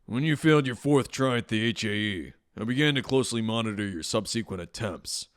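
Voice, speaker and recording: Man as a stern, low-voiced official. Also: expressive, clean tone, close to an everyday voice. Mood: dry, official. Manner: measured, dry, authoritative. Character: stern, blunt academy admissions officer, grown man. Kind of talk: audio drama acting.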